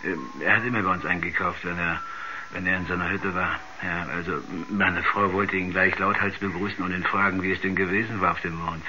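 An actor playing a rambling, uneasy village shopkeeper who stammers and repeats himself in a 1970s radio drama. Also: male voice, low voice, fairly even intonation, brisk, music in the background, close to an everyday voice. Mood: chatty, puzzled. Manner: hesitant, stammering, repetitive, rambling. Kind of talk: 1970s science-fiction radio drama acting. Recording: dull, noisy 1970s radio recording.

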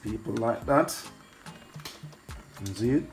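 A man's voice: on the low side, animated, takes it slowly, creaky. A man hosting a casual cooking vlog, talking loosely and repeating his catchphrases as he works. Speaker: cooking channel host. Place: shop and street outdoors, then home kitchen. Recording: echoey with loud music behind.

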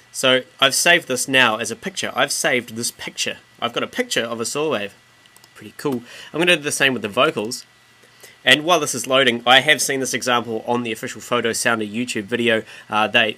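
Bright-voiced New Zealand man giving a tutorial. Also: mid-range voice, a little fast. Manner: relaxed, casual slangy explaining. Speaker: tutorial presenter and music producer. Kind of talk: informal screen-recorded software tutorial.

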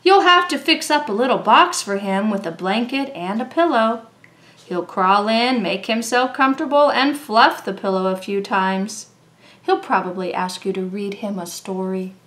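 A woman reading a children's story aloud in an expressive, deliberate way, drawing out words for young listeners. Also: mid-range voice. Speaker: storybook reader.

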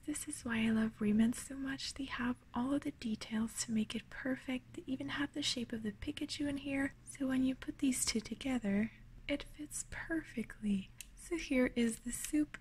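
A woman hosting an ASMR unboxing, whispering breathily and gently, sounding delighted, close to the mic at a table indoors. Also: high-pitched, moderate pace, slight background noise, poor audio.

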